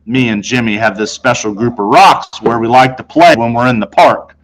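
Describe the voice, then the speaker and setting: A man reading a children's novel aloud in an expressive, conversational way, telling it as a boy in the first person. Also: mid-range voice, moderate pace, low-quality recording. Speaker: storybook reader.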